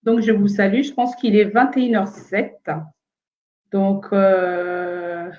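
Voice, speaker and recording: A woman's voice: mid-range voice, little pitch movement, slow pace, darkish tone, muffled recording. A woman from France of Congolese origin hosts a casual live stream from home, hesitant and full of fillers while she fights sound problems.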